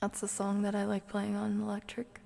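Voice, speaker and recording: A woman livestreamer casually singing along and making soft, playful chatty asides, sometimes in a whisper. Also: mid-range voice, completely flat delivery, a little fast, creaky, a little hiss or hum. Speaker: livestreaming ASMR creator. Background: music playing from a TV.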